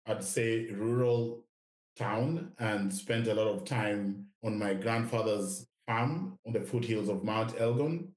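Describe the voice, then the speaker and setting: A middle-aged man with a Kenyan accent speaks as a podcast guest in a fairly low voice. He talks reflectively, and the recording is roomy and echoing. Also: darkish tone. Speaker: podcast guest, investment director. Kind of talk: reflective interview talk.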